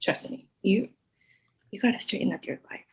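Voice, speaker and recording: A woman's voice: moderate pace, a little mellow, natural voice. A woman acting a blunt, slangy, streetwise friend in a screenplay table read. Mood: urgent, exasperated. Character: blunt, streetwise, foul-mouthed friend talking sense.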